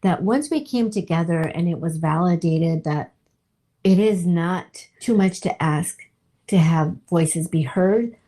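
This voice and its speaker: A woman giving a reflective interview, speaking earnestly and deliberately, often repeating her phrasing for weight. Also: lowish voice, warm tone. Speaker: poetry group co-founder being interviewed.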